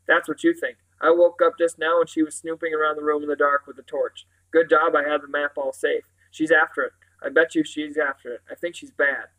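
A man's voice: fairly high pitch, little pitch movement, fairly quick, hardly any pauses, pushed and bright, low-quality recording. A man voicing an eager, self-important young boy who fires off questions and insists on his suspicions. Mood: suspicious, insistent. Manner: urgent questions and insistent claims.

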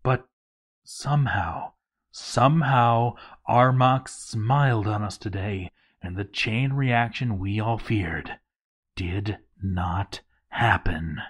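A man narrating a written game playthrough log in a dramatized read-aloud style, theatrical and full of deliberate pauses. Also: fairly low pitch, slightly rough.

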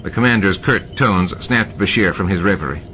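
A man reading a science fiction novel aloud in a steady, measured way. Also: deep voice, a little hiss or hum. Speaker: amateur audiobook reader. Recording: dull lo-fi recording with music.

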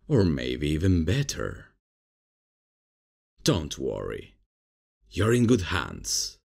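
Very deep, laid-back substitute professor, played by a man. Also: vocal fry, slightly rough, exaggerated voice. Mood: self-assured. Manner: casual, slow, hesitant improvising. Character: laid-back, unprofessional male substitute professor, cocky and casual. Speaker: roleplay performer. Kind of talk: ASMR comedy roleplay, addressing the listener.

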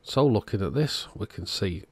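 A man's voice: on the low side, animated, normal speed, husky, no room sound. A man narrating a repair job in a casual, matter-of-fact, understated way. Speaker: host of electronics repair channel.

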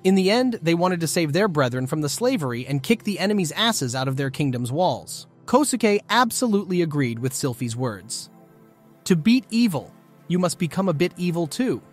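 A man's voice: a little high, big pitch swings, moderate pace. A man narrating a scripted story recap as a storyteller of manga plots. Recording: music behind the voice. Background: background music.

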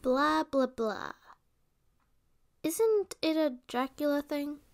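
A woman's voice: high-pitched, lively intonation, slow pace, vocal fry, full character voice. A woman playing a protective, playful resurrected witch in an ASMR roleplay, speaking in a sweet, theatrical way. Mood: mischievous.

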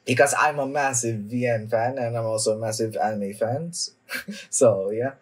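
A man's voice: mid-range voice, husky. A young adult man who is a little under the weather, giving casual, hesitant reaction commentary as a video host. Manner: halting, hesitant delivery. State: somewhat sick with a cough. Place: small indoor room at home.

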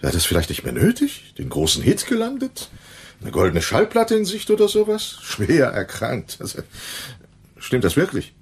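An actor playing a shady, condescending dealer in a German radio crime drama, with a sardonic manner. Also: male voice, on the high side, huge pitch swings, moderate pace, husky, heavily acted. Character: shady, condescending male dealer in crooked business.

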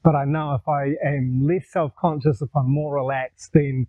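A man's voice: a little high, moderate pace, dry recording. Older Kiwi man, tinny dry sound. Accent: New Zealand. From New Zealand. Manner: relaxed, conversational, gestures while talking. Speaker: vlogger. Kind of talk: casual storytelling to camera. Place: outdoors in a garden. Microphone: lapel mic.

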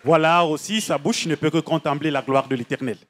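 A man working as the interpreter at a church service, relaying each testimony in an even, summarizing manner. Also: a little high, quick pace, a faint crowd. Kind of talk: consecutive interpreting.

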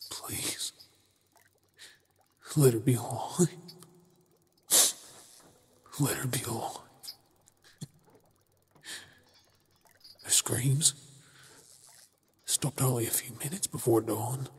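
An exhausted but defiant Australian werewolf held captive , acted by a man in an ASMR drama. He speaks slowly in an extremely raspy, breathy voice that often drops to a whisper. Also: medium pitch. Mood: anguished, desperate. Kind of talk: ASMR audio drama acting.

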